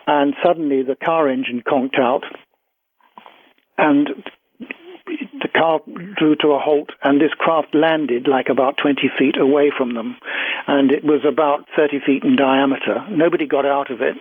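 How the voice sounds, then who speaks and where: A British man giving a radio interview as a guest researcher, recounting accounts in a halting, careful way. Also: on the high side, steady tone, breathy edge, no room sound. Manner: hesitant, halting, with repeats and careful hedging. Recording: thin, tinny phone line on radio.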